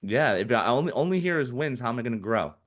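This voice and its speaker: A man in his forties, a financial advisor being interviewed on a podcast, who talks in a lively way and acts out what other people might say. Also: mid-range voice, fast talker. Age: 40s. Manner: animated, acts out quoted people in put-on voices.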